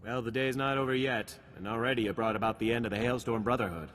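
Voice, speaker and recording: A man's voice: mid-range voice, fairly even intonation, fast talker, a bit bright, a little hiss or hum, lightly acted. Businesslike army lieutenant played by a man, reverberant. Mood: satisfied, measured. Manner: businesslike, briefing tone. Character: practical, decisive male Republic army lieutenant. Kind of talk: video game voice acting.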